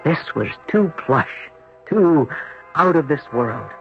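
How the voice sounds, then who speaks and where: Dramatic, very expressive young man. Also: on the high side, slow, faint music behind. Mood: tense recounting. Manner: dramatic, emphatic. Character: bewildered young surfer man, wary and agitated. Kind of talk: 1970s radio drama acting and first-person narration. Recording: dull, noisy 1970s radio recording.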